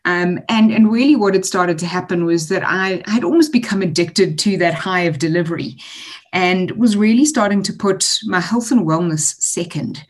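Articulate woman, lowish voice. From South Africa. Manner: articulate, measured, many ums. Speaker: author and guest. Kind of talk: interview answers.

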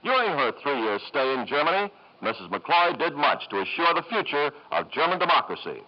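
A man's voice: on the high side, big pitch swings, normal speed. An American man reading scripted 1950s radio narration as an announcer, formal and emphatic. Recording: dull lo-fi old radio broadcast.

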